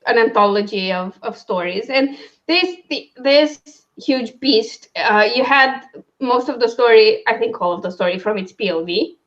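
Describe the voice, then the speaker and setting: High pitched lady chatting about books, keeps cutting herself off, kinda muffled lofi recording. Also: normal speed. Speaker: co-host of a book discussion. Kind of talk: casual book chat.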